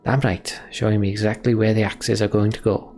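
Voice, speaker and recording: Man putting on a gravelly, grumbling hard-drinking rogue. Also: lowish voice, little pitch movement, brisk, a little mellow, lightly acted. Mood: wry, boastful. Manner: colloquial, sardonic, grumbling. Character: hard-drinking, grumbling, roguish male axe-thrower.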